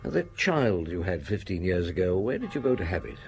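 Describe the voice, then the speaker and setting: An actor playing a shrewd, unhurried middle-aged male police inspector in a radio drama, questioning in a measured, probing way. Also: low-pitched, big pitch swings, darkish tone, soft background music.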